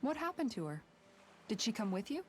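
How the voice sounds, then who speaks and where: An actress playing a frank, fiercely supportive young fantasy fighter in a video game, earnest and firm. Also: female voice, a little high, sing-song, a little fast, a little hiss or hum. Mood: concerned. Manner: earnest, firm, plain-spoken. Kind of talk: dramatic video game voice acting.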